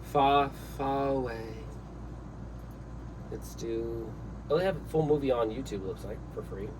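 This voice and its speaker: A man's voice: medium pitch, unhurried. A man co-hosting a casual chat show, rambling and swearing a lot while drinking a beer. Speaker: co-host. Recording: distant mic with room noise.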